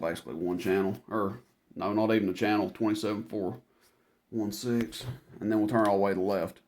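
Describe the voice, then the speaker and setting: A man's voice: fairly low pitch, fairly even intonation, fairly quick, a little mellow, slight background noise. A man giving a casual product demonstration as a seller, talking informally and rambling through each step as he goes.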